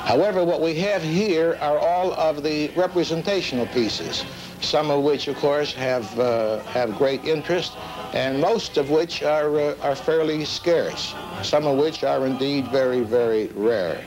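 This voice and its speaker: A middle-aged American man showing off his collection in halting, formal, deliberate phrases. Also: a little high, rough recording. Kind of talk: informal show-and-tell. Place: cluttered indoor collection room. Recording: old degraded videotape, music behind.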